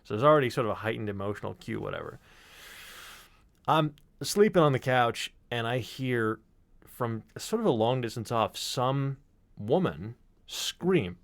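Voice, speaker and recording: A man talking casually as a podcast sound designer, telling stories in an animated, sweary way. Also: mid-range voice, a little slow, creaky, dry recording. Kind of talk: casual chat and storytelling.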